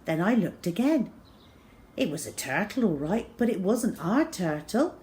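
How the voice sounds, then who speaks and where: Female storyteller, mid-pitched, for children's book narration; expressive, with dramatic emphasis and hushed moments. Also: unhurried. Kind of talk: expressive first-person children's audiobook narration.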